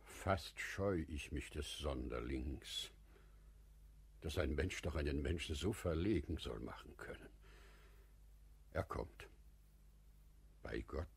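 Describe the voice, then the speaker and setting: Deep, husky older merchant. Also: male voice, exaggerated voice. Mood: musing. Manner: measured, courteous, deliberate. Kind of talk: classic German radio play acting.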